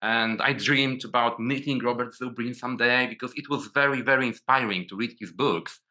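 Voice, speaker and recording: A Polish-accented man in his forties speaks as a guest over a video call. His voice is very bright and forward, and he stumbles and restarts often, repeating words as he searches for them. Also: medium pitch, fairly quick. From Poland. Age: 40s. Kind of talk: casual video call interview.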